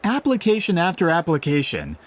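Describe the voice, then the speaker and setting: An actor playing a self-important book merchant in a video game, speaking in a formal, wordy, persuasive way. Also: male voice, fairly high pitch, wildly swooping pitch, fairly quick. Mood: explaining, persuasive. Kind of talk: scripted video game dialogue.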